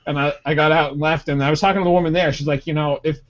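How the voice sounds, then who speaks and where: A man on a casual podcast tells a long, meandering story and acts out the quoted bits along the way. His voice sits a little high. The recording is dull and lo-fi. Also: fairly even intonation, very fast. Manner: rambling anecdote with acted quotes. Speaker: podcast co-host.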